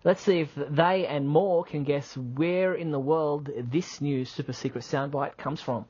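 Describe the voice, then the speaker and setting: A man's voice: on the high side, measured pace, a little mellow, husky. A man co-hosting a podcast in casual, teasing chat. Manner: friendly, teasing, uses fillers. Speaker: podcast co-host. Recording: slightly muffled podcast audio.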